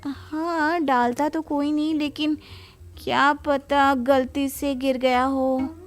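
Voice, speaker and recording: A woman playing a shameless, defensive young daughter-in-law in an animated comedy story, with playful exaggeration. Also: high-pitched, slow, faint music behind. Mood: evasive.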